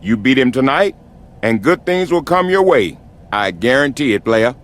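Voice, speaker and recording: A man plays a wrestling show boss in a video game. His mid-pitched voice moves widely up and down as he talks in an emphatic, dealmaking way. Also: sing-song, moderate pace, close to an everyday voice. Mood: assured, emphatic. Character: brisk, promising male wrestling show boss. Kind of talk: video game cutscene acting.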